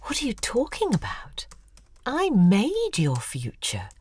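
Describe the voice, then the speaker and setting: A woman's voice: a little high, wildly swooping pitch, slow, a little mellow, slightly rough, heavily acted. An actress playing a cold, haughty woman in an audio drama, speaking in an articulate, disdainful and cutting way. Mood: haughty scorn. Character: cold, haughty older woman, scornful former object of a crush. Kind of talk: audio drama acting, reading out emails.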